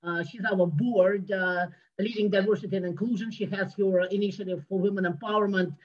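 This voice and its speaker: A woman hosting an online meeting, introducing each guest in a halting way with many filler sounds. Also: mid-range voice, fairly even intonation. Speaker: meeting host introducing board members. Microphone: video call. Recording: muffled lo-fi call audio.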